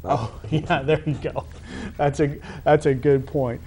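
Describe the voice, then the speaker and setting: A man's voice: fairly high pitch, steady tone, a little slow, mellow. American man interviewing, emphatic, with background noise. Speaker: talk show host, high school athletic director. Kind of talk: conversational sports interview.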